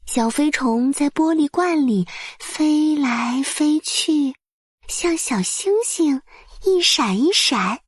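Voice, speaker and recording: A woman narrating a bedtime story for small children in a soft, breathy voice, with gentle, soothing and careful phrasing. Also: high-pitched, lively intonation, slow, no echo, clean studio sound.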